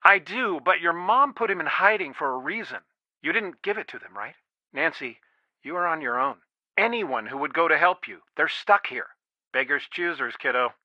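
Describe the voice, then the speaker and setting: A middle-aged father in a video game, protective and stern, with a very bright, forceful voice pitched a little high. He speaks earnestly and firmly, like one side of a serious phone call. The sound is dry and slightly dulled. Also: expressive, moderate pace, dry recording. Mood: angry, firm.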